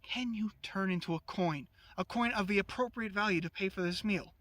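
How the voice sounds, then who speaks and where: Guy doing a sly scheming folktale trickster, cajoling, bright highish voice. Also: moderate pace. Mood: coaxing.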